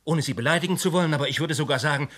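An actor playing a defensive, curt witness under questioning in a radio drama. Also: male voice, a little high, very fast, a bit bright, close to an everyday voice. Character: defiant, evasive male witness. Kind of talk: German radio drama acting.

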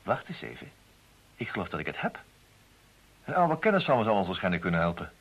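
A brisk private detective in a radio drama. He talks fast with a lively, rising and falling melody and a husky edge. His manner is keen and charming, on a dull old recording. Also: deep voice, full character voice. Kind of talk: old radio play dialogue.

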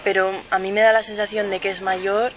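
A woman's voice: mid-range voice, muffled recording. Chatty young woman hosting radio. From Spain. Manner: relaxed, chatty, with quick agreeing murmurs. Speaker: radio host. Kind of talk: casual radio interview.

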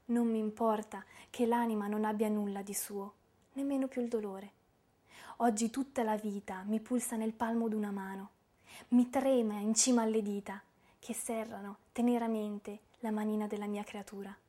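An actress playing a sorrowful, tender young woman poet, reciting in measured, intimate phrases. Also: mid-range voice, fairly even intonation, warm tone, natural voice. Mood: resigned, intimate. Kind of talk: dramatic poetry recitation.

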